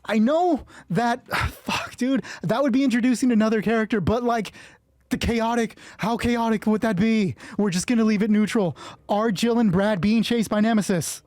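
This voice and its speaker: A man with a husky voice narrates a solo tabletop game casually, thinking aloud and swearing freely as he talks himself through rulings. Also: high-pitched, normal speed, darkish tone, no room sound. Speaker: solo roleplay player and narrator.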